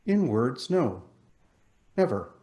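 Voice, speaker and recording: A gentle young woman from a storybook, acted out by a man, sorrowful yet resolute. Her words come slowly and earnestly, each one deliberately placed. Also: medium pitch, big pitch swings. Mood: reproachful. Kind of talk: storybook reading.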